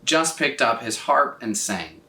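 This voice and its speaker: A man reading a children's story aloud in an expressive, scripted style, with dramatic phrasing and drawn-out words. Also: medium pitch. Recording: roomy echo, distant from mic.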